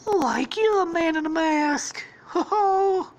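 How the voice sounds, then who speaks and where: A woman's voice: lively intonation, takes it slowly, lo-fi sound, full character voice. A woman voicing a goofy, easily scared young slacker who yelps and whoops with laughter. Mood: panicky. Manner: high yelping voice with whooping laughs.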